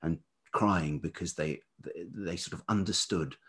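A man speaking as an interview guest in reflective, casual conversation, halting thoughtfully as he searches for words. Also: low voice, fairly bright tone, slightly rough. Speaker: interview guest, writer and musician.

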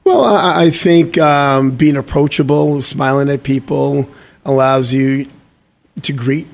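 An upbeat man talking as a guest in a relaxed interview. He is originally from Guyana and drops motivational sayings as he chats, in a medium-pitched voice. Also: slow, gravelly. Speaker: guest entrepreneur. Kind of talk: casual interview chat.

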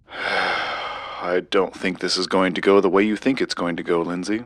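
A man's voice: deep voice, fairly even intonation, measured pace, a bit bright, dry recording. A man playing a wry, reluctant collector with a dry, skeptical manner in an audio drama podcast. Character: wry, reluctant, disreputable male antiques collector.

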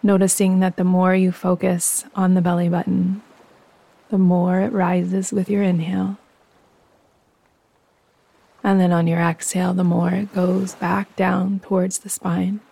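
A woman guiding a yoga nidra meditation in a soft, breathy voice, calm, soothing and unhurried. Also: on the low side, low-quality recording. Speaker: meditation guide and host.

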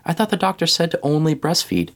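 A man's voice: fairly high pitch, sing-song, quick pace, gravelly, a bit bright, pitched above the performer's own voice, full character voice. A man playing a frantic, exhausted new father in a comedic narrated story, rambling and over-anxious. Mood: frantic, confused. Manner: incoherent, pleading, over-anxious.

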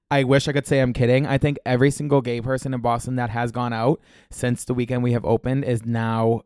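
A man's voice: mid-range voice, little pitch movement, moderate pace, darkish tone, smooth, no room sound, studio quality. Campy, congested man chatting on a podcast. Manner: chatty, profane, campy and gossipy. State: slightly congested, scratchy throat. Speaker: podcast host. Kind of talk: casual solo podcast monologue.

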